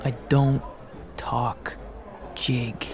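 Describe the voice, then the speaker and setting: Gravelly 1920s gangster wise guy. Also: mid-range voice, little pitch movement, very slow, crowd noise. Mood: defiant. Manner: wisecracking, slangy tough talk. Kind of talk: period gangster audio drama acting.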